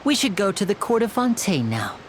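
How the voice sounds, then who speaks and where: Woman as a lively, polite young male magician. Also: medium pitch, a little fast, heavy background noise, natural voice. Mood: easygoing. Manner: courteous, composed. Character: polite young male stage magician, smooth-talking and easygoing. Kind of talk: anime game voice acting. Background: music.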